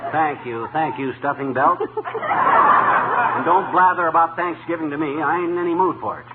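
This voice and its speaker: A man's voice: fairly high pitch, normal speed, a loud crowd, natural voice. Guy acting as grumbly middle-aged husband, 1940s radio comedy, folksy blustering, thin tinny sound, really noisy. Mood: grumpy. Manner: folksy, indignant complaining.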